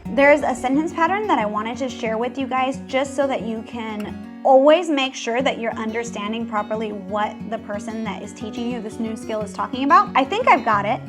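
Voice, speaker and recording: Woman, English teacher, clear explanations, slightly high voice, clear music bed. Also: lively intonation, normal speed, hardly any pauses. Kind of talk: voice-over lesson. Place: voice-over.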